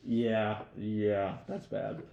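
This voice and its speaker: A man's voice: lowish voice, little pitch movement, drawn-out words, vocal fry, dark tone, highs cut off. A young American man chatting casually as a podcast co-host, with loose, jokey, slangy talk. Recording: roomy echo.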